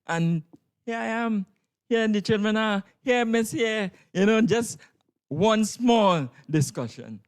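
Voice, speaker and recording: A man's voice: high voice, expressive, slow pace, smooth. A man with a Trinidadian accent answering interview questions as a drama tutor, emphatically and in short bursts.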